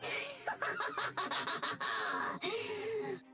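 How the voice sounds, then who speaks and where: Villainous small monster mage, game role: high-pitched, very animated, grandiose and taunting. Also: full character voice. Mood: gloating. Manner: taunting, grandiose, drawn-out delivery. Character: gloating, theatrical villainous little mage monster. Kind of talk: video game villain acting. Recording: loud music under the voice.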